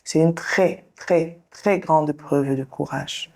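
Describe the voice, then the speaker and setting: Low-voiced woman guiding a calm exercise. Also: gravelly. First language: English. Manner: slow, soothing, directive guidance. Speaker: sex therapist and show host. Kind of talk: guided visualization and counseling talk.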